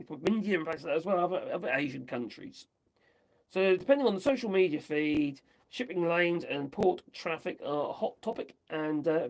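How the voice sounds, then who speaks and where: A man from the UK, chatting his way through the news on a livestream, rambling and tossing in tag questions, his voice going hoarse. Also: on the high side. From United Kingdom. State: losing his voice. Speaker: livestream host. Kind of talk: casual commentary on news.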